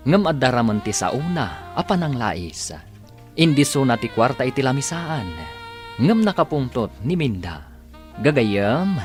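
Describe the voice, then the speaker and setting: A man narrating and announcing an Ilocano radio drama serial in a scripted, dramatic style. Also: medium pitch.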